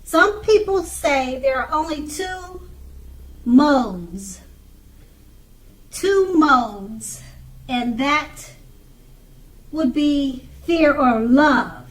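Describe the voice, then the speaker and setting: A woman giving an unscripted tarot card reading in a halting way, pausing and repeating her phrases. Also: sits high, lively intonation, rough recording. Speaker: tarot reader.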